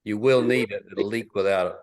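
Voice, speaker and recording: A man's voice: on the low side, steady tone, brisk, a crowd in the background. A man giving casual technical advice on a group call in a matter-of-fact, instructive way. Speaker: technical adviser.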